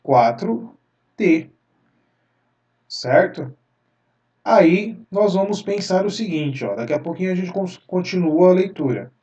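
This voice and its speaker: Slow, patient math teacher. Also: male voice, high voice, animated, mellow, far from the mic, highs cut off. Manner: slow, repetitive explaining, drawing-pointing asides. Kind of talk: step-by-step math lesson.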